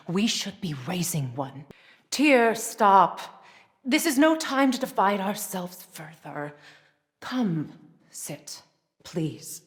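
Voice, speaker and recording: A woman's voice: fairly low pitch, slightly rough, no echo, heavily acted. A fierce goddess and mother from a fantasy video game. She is proud and wounded by grief, speaking with grave, commanding intensity in a close, dry recording.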